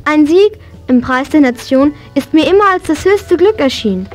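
A woman's voice: high voice, animated, normal speed, darkish tone. An actress playing a spirited, horse-loving young girl in a German children's audio drama. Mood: earnest, soft.

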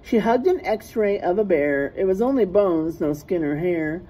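Husky, slow-paced woman reading aloud to children. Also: on the low side, animated, warm tone, low-quality recording. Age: middle-aged. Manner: sing-song, deliberate, stressing rhyming words and letters. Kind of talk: read-aloud of a rhyming picture book with teaching asides. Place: small classroom, indoors.

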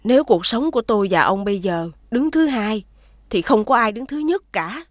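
An actress with a southern Vietnamese accent playing a rich man's discontented, boastful wife in an animated folktale, complaining and self-important. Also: fairly high pitch, moderate pace, dry recording, clean studio sound. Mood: smug. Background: prominent music.

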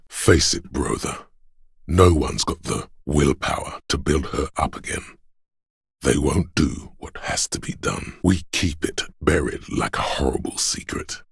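A man voicing a grim, stoic inner voice of endurance for a game, in a solemn, portentous manner with a husky, gravelly quality. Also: rumbling low, fairly bright tone, dry recording, clean studio sound, full character voice. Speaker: voice actor. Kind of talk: dramatic game narration, second person.

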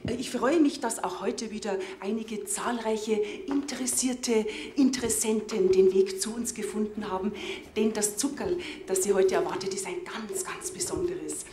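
A woman's voice: mid-range voice, steady tone, noisy background, lightly acted. A middle-aged woman playing an earnest village culture-evening host in a comedy sketch, formal and stilted with a Bavarian accent. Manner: stilted, formal, halting, solemn. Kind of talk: TV comedy sketch, stage announcements. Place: village community hall, indoors. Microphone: handheld microphone. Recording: echoey hall with music behind.

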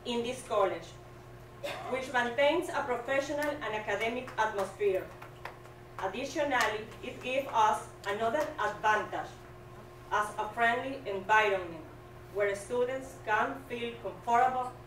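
Venezuelan woman reading her grad speech real slow, kinda high voice, echoey room, mic a bit far off. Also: fairly bright tone, a little hiss or hum. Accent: Venezuelan Spanish. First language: Spanish. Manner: slow, careful, earnest reading. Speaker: graduating student. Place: small hall with curtain backdrop. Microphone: stand mic, filmed from a distance.

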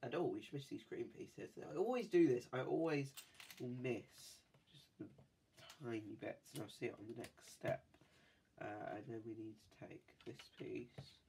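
A man's voice: medium pitch, moderate pace, vocal fry, dark tone, a little hiss or hum. A man with a cold, chatting casually and rambling with many fillers while building a toy set at a desk. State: has a cold. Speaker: toy-building video host. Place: indoors at a desk.

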